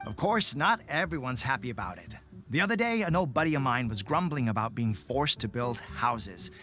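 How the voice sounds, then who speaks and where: A loyal resistance soldier speaks to his officers with respect and eagerness. His pitch sits in a middle range. Faint game music plays behind him. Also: sing-song, moderate pace, bright, forceful tone. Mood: chatty. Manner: respectful, addresses superiors as sir. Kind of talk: video game voice acting.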